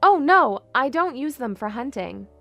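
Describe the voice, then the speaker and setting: A woman's voice: high-pitched, big pitch swings, measured pace, vocal fry, sharp tone, no echo, faint music behind, exaggerated voice. A woman playing a spirited young princess in an audio drama, polite but bold in manner. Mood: earnest. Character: spirited young princess posing as a lady's maid. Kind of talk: fan audio drama acting.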